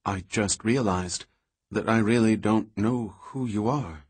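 A man's voice: fairly low pitch, measured pace, darkish tone, slightly rough. A man reading as a guarded, tired woman scientist. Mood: measured. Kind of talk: audiobook dialogue reading.

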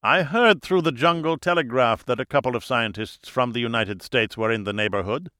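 A man's voice: mid-range voice, brisk, sharp tone, no room sound, studio quality, lightly acted. A man voicing a big, jovial older German expatriate in an audiobook, with a German accent and falsely gallant manners. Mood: smooth, hospitable. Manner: hearty, effusive, falsely courteous.

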